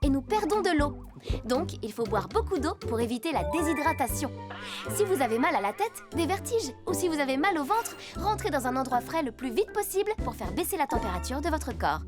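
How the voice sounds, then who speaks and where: A very high, lively-pitched cartoon girl, friendly and sensible. She speaks in clear explanatory phrases for a children's show, over loud music. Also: brisk. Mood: instructive. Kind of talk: children's cartoon dub acting.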